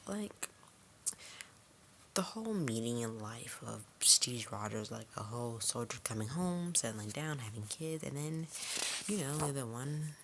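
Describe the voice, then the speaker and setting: A woman's voice: a bass voice, noisy background. A woman giving a casual, conversational movie review in an informal, rambling way, recorded at home. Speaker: video reviewer.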